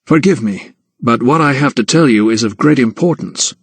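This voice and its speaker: An earnest young gentleman doctor as a male narrator plays him in a storybook. He is courteous and formal, with a medium pitch and a sensitive temper. The recording is close and dry. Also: normal speed, breathy edge, dry recording. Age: young adult.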